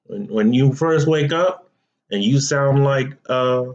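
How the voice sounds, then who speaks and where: A young man hosts a solo podcast at an unhurried, drawn-out pace. His manner is relaxed and chatty, and he keeps addressing his listeners directly. The audio is a little dull and muffled. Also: medium pitch. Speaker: podcast host. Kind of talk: casual solo podcast talk.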